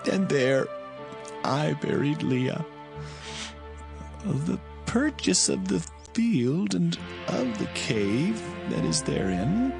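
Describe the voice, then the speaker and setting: Aged biblical patriarch, gravelly and solemn, swooping declamation for audio scripture drama, music underneath. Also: male voice, a little high, full character voice. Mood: grave, weary. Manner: slow, declamatory. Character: aged dying biblical patriarch, solemnly blessing his sons.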